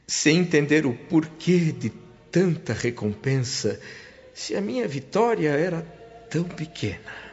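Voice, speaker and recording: A man's voice: medium pitch, close to an everyday voice. A man playing a humble, devout spirit who narrates a radio drama with reverent, emotive expression. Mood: reverent, moved. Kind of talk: dramatic radio-play first-person narration. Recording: dull lo-fi audio with music behind. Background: clear background music.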